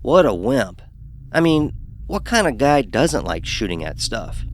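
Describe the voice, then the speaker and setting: A man's voice: mid-range voice, big pitch swings, unhurried, a little hiss or hum. A man playing a cocky, sarcastic young fraternity pledge who narrates his own horror story. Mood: cocky, scornful. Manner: sarcastic, dismissive. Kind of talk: first-person horror story narration with acted dialogue.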